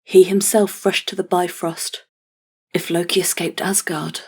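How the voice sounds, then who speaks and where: Female narrator for scripted fantasy, slightly low register, very level and solemn. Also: level tone, measured pace, a little mellow.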